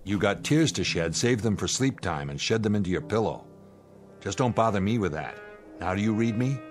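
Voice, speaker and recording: An American man playing a stern, steady spaceship commander in a radio drama, with an authoritative, clipped, lecturing manner. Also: fairly low pitch, normal speed, lightly acted. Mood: stern, commanding. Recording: dramatic music behind the voice.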